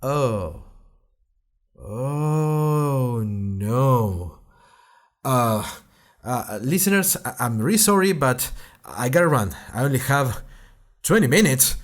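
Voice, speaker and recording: A man's voice: medium pitch, animated, slow, pauses often. A man playing an earnest young college student who tells his story in a wry, conversational way, as host of an audio drama diary. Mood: flustered. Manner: conversational, wry, addresses listeners directly. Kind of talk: scripted first-person audio drama narration.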